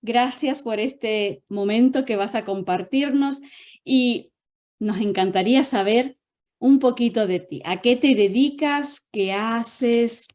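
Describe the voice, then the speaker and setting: A woman hosts an online gathering with open affection and plenty of exclamation. Her voice sits fairly high with a soft, mellow tone, and she takes her time as she talks. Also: slow pace. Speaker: host of an online gathering, interviewing a guest. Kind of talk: chatty welcome and announcements. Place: online video call.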